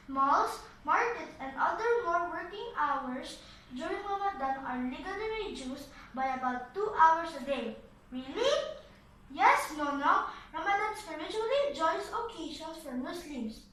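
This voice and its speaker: Brief: woman as eager young puppet boy, piercingly high, earnest recited lines, very echoey room. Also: expressive, a little slow, bright, forceful tone, exaggerated voice. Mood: earnest, explaining. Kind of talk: scripted puppet show dialogue. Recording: roomy echo, slightly distant mic.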